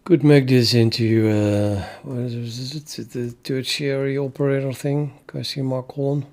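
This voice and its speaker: A man's voice: medium pitch, fairly even intonation, darkish tone. A man streaming his programming work, thinking aloud and talking himself through the code. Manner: slow, musing, talks himself through code. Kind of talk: thinking aloud while coding.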